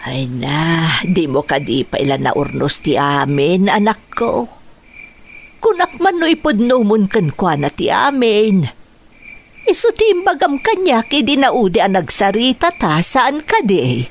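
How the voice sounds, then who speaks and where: A woman with an Ilocano accent playing a gentle, concerned older mother in a radio drama. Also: medium pitch, big pitch swings, a little slow, slight background noise.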